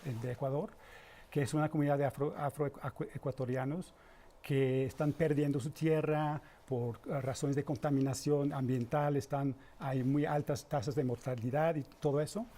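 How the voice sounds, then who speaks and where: A man answering as a research guest in an interview, explaining in a halting way as he searches for words. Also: medium pitch, dark tone.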